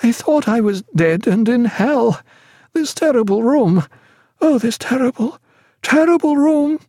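A man playing a terrified feverish gentleman. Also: very high-pitched, lively intonation, takes it slowly, no echo, studio quality, voice pushed up, heavily acted. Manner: trembling, pleading, distraught.

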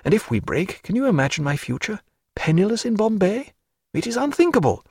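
A man's voice: sits high, big pitch swings, a little fast, a little mellow, slightly rough, dry recording, studio quality, pitched above the performer's own voice, heavily acted. A man reading a spirited, proud young woman in an audiobook, fiery and theatrical in manner, swinging from bitter to tender.

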